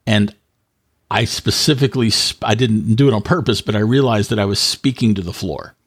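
A man in a casual tech podcast chat, talking in a rambling, halting way and often correcting himself. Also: lowish voice, husky, no room sound, clean studio sound.

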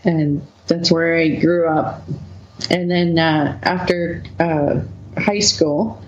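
A woman from Manitoba, Canada, a webcomic co-founder as the guest, talking through her past slowly with frequent laughs and fillers. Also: low-pitched, steady tone, reverberant, dull audio. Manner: slow, laughing often, many fillers. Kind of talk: relaxed interview conversation.

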